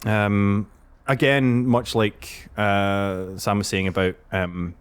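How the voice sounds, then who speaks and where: Low-voiced man on a podcast panel. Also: little pitch movement, slow pace, smooth. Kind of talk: casual discussion.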